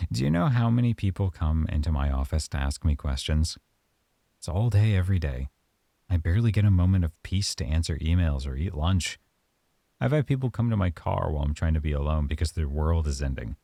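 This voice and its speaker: Very deep gravelly man, soft-spoken. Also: dry recording. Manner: calm, measured, softly stern. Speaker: audio roleplay performer as a professor. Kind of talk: ASMR roleplay monologue.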